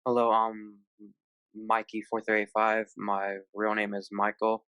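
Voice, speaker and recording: A man from Colorado chatting casually as a gaming panel guest, in a halting, unpolished way. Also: lowish voice, monotone, a bit bright, smooth, dry recording. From Colorado, United States. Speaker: tournament player, panel guest. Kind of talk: casual chat.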